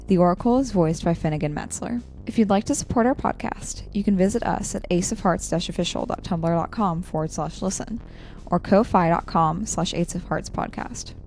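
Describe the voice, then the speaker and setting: A woman announcer reads scripted credits for a podcast. Her voice is on the low side and crackles with fry. Soft music plays behind her. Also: normal speed.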